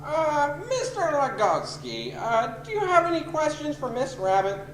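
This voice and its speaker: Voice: high-pitched, wildly swooping pitch, unhurried, exaggerated voice. An actor playing a rambling, easily distracted judge who speaks hesitantly in a radio comedy. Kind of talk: old time radio comedy acting. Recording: echoey, noisy amateur recording.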